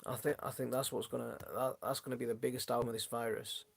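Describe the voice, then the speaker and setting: A man hosting a gardening channel, chatting casually on a live stream from his home, his voice going hoarse, with a rambling, friendly way of talking. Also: mid-range voice, level tone, quick pace. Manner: rambling, many restarts, chatty and friendly toward viewers. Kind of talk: casual live-stream chat answering viewers. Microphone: phone.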